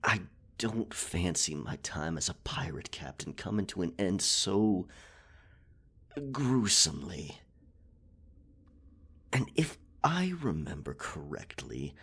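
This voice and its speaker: Husky, roguish captain, swinging wildly. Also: male voice, deep voice, big pitch swings, slow, long pauses, a bit bright, full character voice. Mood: coaxing, conspiratorial. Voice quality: husky, breathy. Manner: theatrical, indignant outbursts, teasing asides. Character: boastful, roguish, flirtatious male pirate captain. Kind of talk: roleplay ASMR monologue.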